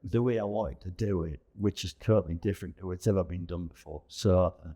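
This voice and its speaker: A man's voice: sits low, fairly quick, warm tone. A middle-aged or older man, chatting in a jokey, theatrical and emphatic way as an interviewed performer. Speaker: pantomime performer being interviewed. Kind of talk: casual interview chat.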